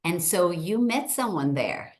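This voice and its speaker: A woman's voice: on the low side, expressive, a little slow. A middle-aged woman hosting a short interview over a video call, introducing her guest and asking questions in an upbeat, enthusiastic way. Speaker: host, state senator.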